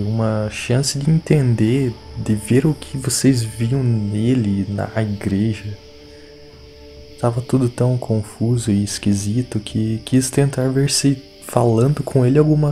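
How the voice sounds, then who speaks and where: An actor playing a shaken, defiant village boy in an audio drama, speaking in urgent, halting slang. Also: medium pitch, creaky, mellow. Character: shaken, defiant village boy urging his friends to flee.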